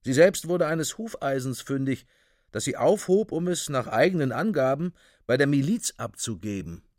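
A man's voice: medium pitch, expressive, moderate pace, darkish tone, no echo, clean studio sound. A man narrating a radio play from a script in a clipped, official, report-like manner.

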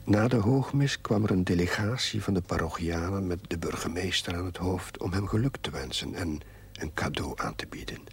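An actor playing a reflective priest reading his diary in a formal, measured way, with a husky, breathy voice. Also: sits low, exaggerated voice. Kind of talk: scripted diary narration in a drama.

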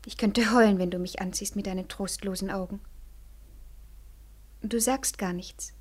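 A woman's voice: mid-range voice, steady tone, normal speed, darkish tone, natural voice. An actress playing a lonely, tender young woman in a 1940s radio play, coaxing and pleading, often sinking into a whisper. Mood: tender, sorrowful. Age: young adult.

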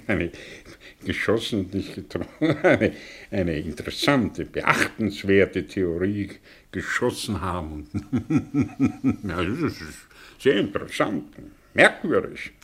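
An actor playing an older, sardonic, brilliant fallen intellectual in a German radio drama, speaking theatrically with rhetorical pauses and swells. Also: male voice, low voice, sing-song, fairly bright tone, breathy edge, heavily acted. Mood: mocking, amused. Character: aging, theatrical, sardonic man, brilliant fallen intellectual confessing his past.

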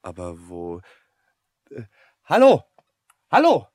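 An actor playing a polite, ponderous man in his twenties looking for work, wry and deliberate, in a radio drama. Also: mid-range voice, huge pitch swings, dark tone, no echo, clean studio sound, heavily acted. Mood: grumbling, searching. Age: 20s. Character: hesitant, polite, ponderous young male job seeker, former student. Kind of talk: German radio drama dialogue.

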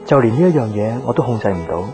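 A man's voice: mid-range voice, big pitch swings, fast. An actor in a Hong Kong Cantonese radio drama playing a brooding, guarded young office man given to introspection. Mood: brooding, reflective. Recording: guitar music behind the voice. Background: guitar music.

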